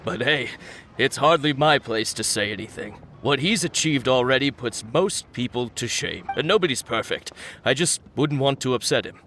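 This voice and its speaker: An actor playing a nervous, defensive fish seller in a video game, gossipy and hesitant. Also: male voice, medium pitch, expressive, moderate pace, bright, forceful tone, slight background noise. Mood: confiding. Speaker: fishmonger character. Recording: background music under voice. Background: game music.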